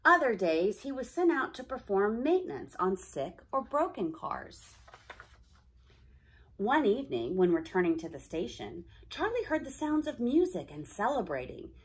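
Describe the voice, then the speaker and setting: Female storybook reader, very animated pitch, slightly husky tone, dramatic emphasis. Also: measured pace. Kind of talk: expressive children's story narration.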